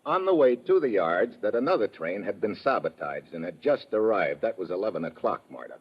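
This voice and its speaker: A man playing a tough, wisecracking undercover investigator in 1950s radio drama, husky, blunt and sardonic, on a dull old radio recording. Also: on the low side, sing-song, fairly quick, exaggerated voice. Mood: firm explaining. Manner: hard-boiled, sardonic, blunt.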